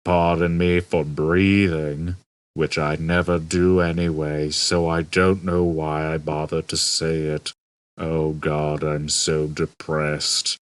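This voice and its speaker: A man voicing a depressed, bored robot in an audiobook, droning slowly with gloomy sarcasm. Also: level tone, clean tone. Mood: deep despair. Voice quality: low, droning. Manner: slow, gloomy, sarcastic muttering. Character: hopelessly depressed, bored male humanoid robot. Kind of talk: audiobook character voice.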